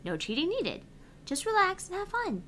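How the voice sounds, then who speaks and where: A bright, sparkly-toned female app assistant played by a woman guides a player through a visual novel game. She is chirpy, playful and encouraging, with big swoops in pitch. There is noticeable background noise. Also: huge pitch swings, normal speed, heavily acted. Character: perky, reassuring female phone app assistant. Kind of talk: reading visual novel game dialogue aloud.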